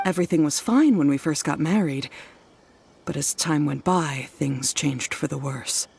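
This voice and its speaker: A woman's voice: sits low, a little slow, vocal fry, very noisy. A woman playing a worried, weary wife and mother in an anime-style game drama, earnest and confiding. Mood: weary, regretful.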